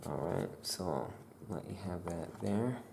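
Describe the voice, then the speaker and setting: A soft-spoken ASMR roleplayer talking gently and in a hushed, unhurried way as a witch shopkeeper. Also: low-pitched, animated, vocal fry, slight background noise.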